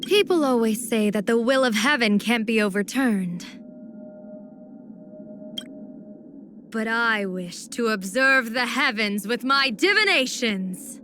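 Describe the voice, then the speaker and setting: Game role: poised female general-diviner, playful schemer, unhurried theatrical delivery, some background noise. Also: fairly high pitch, fairly bright tone. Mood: resolute, gracious. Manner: measured, theatrical, teasing, elegantly worded. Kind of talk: video game character voice acting.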